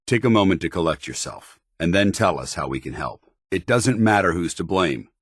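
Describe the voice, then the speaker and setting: An actor playing a stern, honor-bound soldier in a video game, speaking in a formal, commanding and earnest military way. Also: male voice, low voice, lively intonation, moderate pace, slightly rough, no echo, clean studio sound. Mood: firm, steadying. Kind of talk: video game companion dialogue.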